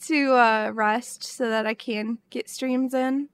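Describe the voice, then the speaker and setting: A woman streamer chatting as a podcast guest, expressive and casual, her voice still recovering. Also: on the high side, slow, smooth. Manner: expressive, says dude a lot. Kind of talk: casual chat.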